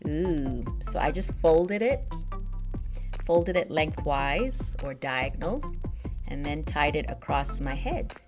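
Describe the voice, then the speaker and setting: A woman's voice: low-pitched, expressive, takes it slowly, music in the background. A young woman who grew up in Nigeria and the United States, chatting upbeat and laughing often as she reviews a product for her vlog. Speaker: beauty vlogger.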